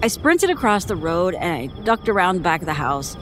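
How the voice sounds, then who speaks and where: Haunted, halting woman. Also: fairly low pitch, very animated. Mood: uneasy, haunted. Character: frightened woman recounting a childhood horror. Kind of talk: audio horror drama monologue. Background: music.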